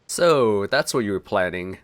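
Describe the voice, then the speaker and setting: A man's voice: on the low side, expressive, unhurried, no echo. A man giving casual let's-play commentary on a video game.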